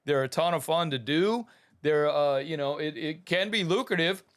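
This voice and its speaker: A man's voice: fairly high pitch, normal speed, fairly bright tone. A man hosting a podcast about the business of running a studio, talking casually and enthusiastically, rambling with restarts and calling things awesome. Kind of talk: casual talk to listeners.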